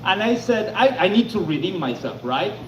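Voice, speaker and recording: High-voiced stammering stand-up man from Argentina. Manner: halting, stammering delivery with deadpan punchlines. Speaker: stand-up comedian. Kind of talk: stand-up comedy set. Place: comedy club stage. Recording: echoey club, lo-fi, noisy.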